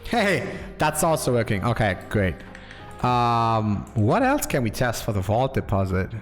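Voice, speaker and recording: A man streaming himself coding, thinking aloud in a slow, halting way and reading code out as he types. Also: medium pitch, sing-song, faint music behind. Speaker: programmer streaming live coding.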